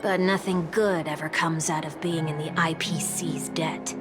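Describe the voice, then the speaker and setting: An actress playing a sharp, sarcastic young woman who speaks bluntly and teases, with music playing behind her. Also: deep voice, fairly even intonation, unhurried. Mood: skeptical. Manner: teasing and blunt. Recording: game soundtrack music behind.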